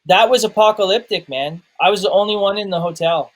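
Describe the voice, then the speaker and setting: Casting: man, slightly high voice, informal podcast talker, halting, self-restarting delivery. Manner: halting, repeats words as he searches for phrasing. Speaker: podcast guest reviewer, documentary maker. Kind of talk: casual personal chat.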